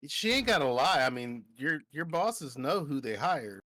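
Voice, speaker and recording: A man's voice: a little high, very animated, moderate pace, pushed and bright, slight background noise. Joking man riffing on a stream. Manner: joking, crude ad-lib humor. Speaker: co-host on stream. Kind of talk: casual chat.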